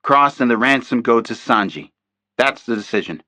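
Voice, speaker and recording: A man's voice: mid-range voice, lively intonation, normal speed, sharp tone, no echo. A man doing a stern federal agent. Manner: firm, commanding. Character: stern male FBI special agent.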